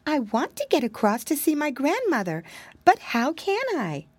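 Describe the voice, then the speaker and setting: A woman's voice: sits high, huge pitch swings, normal speed, no echo, pitched above the performer's own voice. A woman voicing a timid little girl mouse who frets and worries. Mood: fearful. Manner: fretful, breathless worrying.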